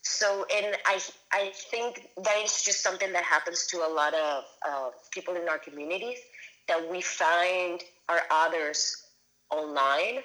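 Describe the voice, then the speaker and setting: A woman in her thirties from Venezuela, a filmmaker answering interview questions. Her voice sits a little low, and she talks casually, with frequent fillers and restarts as she gathers her thoughts. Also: unhurried, bright, forceful tone, poor audio. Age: 30s. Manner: hesitant, many restarts and fillers. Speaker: guest filmmaker.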